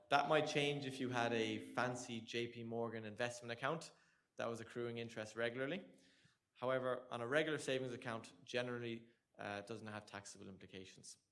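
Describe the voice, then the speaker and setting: An Irish man speaks to a room of international students at a tax workshop. He explains the rules in a relaxed, conversational way, with dry asides and questions for the audience. His voice sits at an ordinary middle pitch for a man. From Ireland. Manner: conversational and joking, addressing the room. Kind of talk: live presentation to students.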